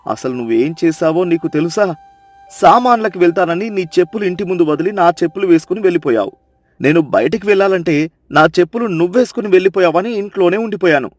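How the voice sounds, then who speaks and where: Man acting a high-voiced, scolding village husband. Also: expressive, fast talker, no echo, faint music behind. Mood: angry, reproachful. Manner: scolding, impatient.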